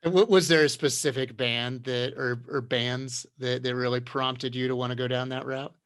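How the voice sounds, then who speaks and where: A man's voice: mid-range voice, fairly even intonation, moderate pace, fairly bright tone, smooth, dry recording, clean studio sound. Chatty man moderating an online panel. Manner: chatty, restarts questions, encouraging. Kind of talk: hosting an online round-table.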